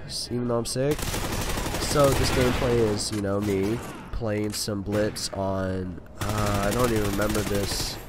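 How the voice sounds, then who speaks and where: A man's voice: fairly low pitch, fairly even intonation, unhurried, very noisy. Sick nasal man rambling over gunfire. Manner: rambling, talkative, frequent fillers. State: sick with a stuffy nose. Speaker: gaming video creator. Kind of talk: casual gameplay commentary. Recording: voice over loud gunfire game audio. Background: video game gunfire and sound effects.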